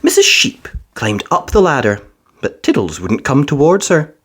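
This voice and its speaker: A woman narrating a children's storybook in an expressive, deliberate read-aloud style. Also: extremely low pitch, breathy edge.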